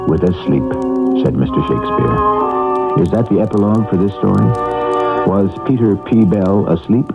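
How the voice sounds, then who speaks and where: A man with a very low voice hosts an old radio mystery show. He narrates in a dramatic, portentous style over loud music on a muffled broadcast. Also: normal speed, gravelly. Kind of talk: theatrical scripted radio narration.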